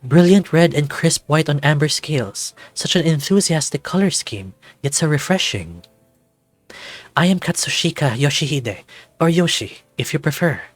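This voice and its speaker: A man voicing a dreamy goat dragon painter in a game, with a flowery, refined, unhurried manner. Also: on the high side, lively intonation, no room sound, soft background music.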